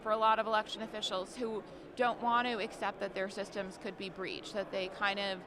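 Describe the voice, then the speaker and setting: A woman's voice: mid-range voice, level tone, fairly quick, background noise. A woman in the audience asking a hesitant question, then announcing an upcoming panel.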